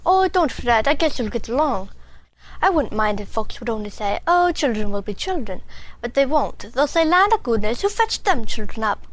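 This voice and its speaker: A woman's voice: fairly high pitch, sing-song, moderate pace. An actress playing a bossy, fussing working-class mother in a family audio drama, with folksy, ungrammatical, scolding speech. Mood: fussing, coaching.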